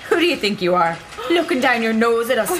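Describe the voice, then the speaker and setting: A woman's voice: fairly high pitch, big pitch swings, fast talker. An actress playing a tired, bitter young woman who works in a linen mill, speaking in a radio drama. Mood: spiteful. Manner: sulky, sharp-tongued.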